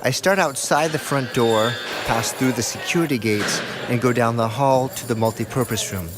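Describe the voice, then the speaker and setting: A man's voice: mid-range voice, normal speed, over music. A man narrating a documentary in the first person as its reporter, reading from a script.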